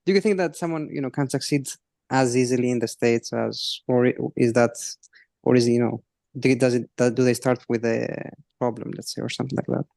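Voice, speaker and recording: Greek-accented man on a group call, hesitant, soft mellow tone, dry. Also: mid-range voice, steady tone, creaky, dry recording. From Greece. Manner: hesitant, halting, many restarts. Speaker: caller on a support call. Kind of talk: casual question on a group call.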